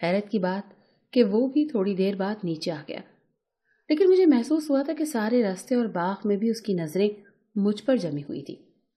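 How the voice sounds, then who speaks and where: A woman narrating a scripted first-person emotional story in a measured, dramatic storytelling manner. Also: medium pitch, lively intonation, warm tone.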